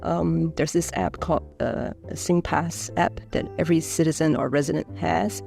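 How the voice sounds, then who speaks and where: Gravelly-voiced woman researcher. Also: low-pitched, fairly even intonation, a little mellow, faint music behind. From Singapore. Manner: measured, with frequent fillers and restarts. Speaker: engineering researcher. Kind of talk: explanatory interview talk.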